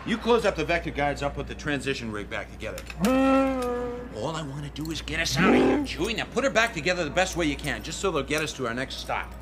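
An actor playing a cocky, sardonic, impatient smuggler pilot in a radio drama, brash and casual, with music behind him. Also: male voice, a little high, sing-song, fast talker, very noisy. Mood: irritated, sarcastic.

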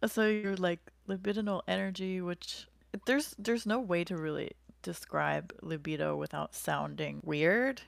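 A woman's voice: on the low side, steady tone, a little slow, vocal fry, few pauses, no echo. A young American woman co-hosting a podcast, talking through ideas in a chatty way with frequent likes and ums. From United States. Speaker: podcast co-host. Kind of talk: casual conversational explanation.

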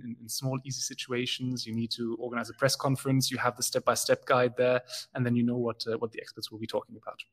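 A man from Belgium, speaking as a guest in a casual conversation, often pausing with fillers and restarting his sentences. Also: a little high. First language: German. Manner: hesitant, many fillers and restarts.

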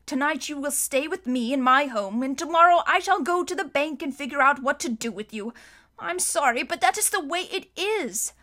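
A woman's voice: sits high, animated, moderate pace, bright, forceful tone, voice pushed up. A woman doing a stiff, officious middle-aged man. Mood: firm apologetic. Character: fussy formal middle-aged male guardian.

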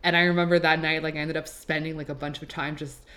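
A woman's voice: low voice, level tone, fast talker, a bit bright. Chatty young Canadian woman, native French speaker. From Quebec, Canada. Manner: chatty, extroverted, filler-heavy with "like". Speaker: podcast host. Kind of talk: casual personal monologue. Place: apartment.

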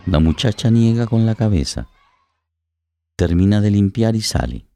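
A man's voice: lowish voice, very animated, normal speed, background noise. A man giving a dramatic scripted narration of a radio play. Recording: plucked guitar music under voice.

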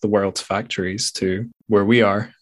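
A man's voice: fairly low pitch, fairly even intonation, unhurried, no echo, studio quality. A Scottish man hosting a podcast, chatting casually and reflectively in a rambling, thoughtful, self-correcting way. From Scotland. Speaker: podcast host.

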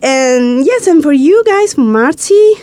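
A woman's voice: high voice, lively intonation, slow, mellow, no room sound. Chatty woman hosting. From Italy. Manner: chatty, playful, laughs and teases guests. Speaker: host. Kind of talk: casual radio-style interview.

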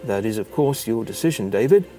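A man acting out a kindly older theatre man in a storytelling memoir. The voice is mellow and emphatic, plain-spoken and firm, with faint music behind it. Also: fairly low pitch, lively intonation, fairly quick, lightly acted. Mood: firm, earnest.

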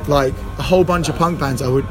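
A man's voice: a little high, quick pace. A man, a band member chatting loosely in an interview outdoors, full of fillers and swearing. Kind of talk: casual interview chat. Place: outdoors in an urban smoking area. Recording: loud music and crowd behind.

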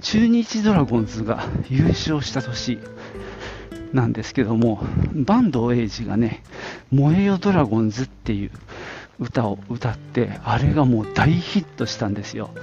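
A man's voice: mid-range voice, sing-song, moderate pace, highs cut off, music in the background. A middle-aged man from the Nagoya area of Japan, talking casually on his own as a podcast host, in an informal, rambling way with little asides. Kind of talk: casual solo reminiscing.

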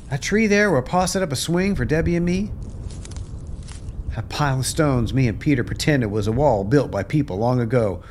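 A man's voice: on the high side, slight background noise. A man playing a nostalgic, plainspoken American rancher in a radio play. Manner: folksy, plainspoken. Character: wistful male rancher, nostalgic and bewildered.

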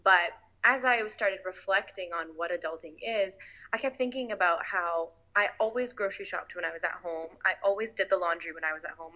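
A woman in her early twenties speaks earnestly in a casual conversation, thinking things through aloud. Her voice is lowish but crisp and bright, with many "like"s scattered through. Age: 20s. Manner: earnest, thoughtful, uses "like" a lot. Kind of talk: casual reflective chat.

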